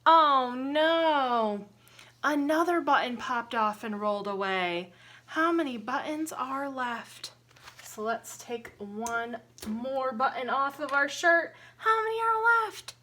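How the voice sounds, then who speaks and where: A woman teacher reading a children's picture book aloud in an expressive, sing-song way, pausing to ask young listeners simple questions. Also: high voice. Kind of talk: interactive children's storybook read-aloud with counting and singing.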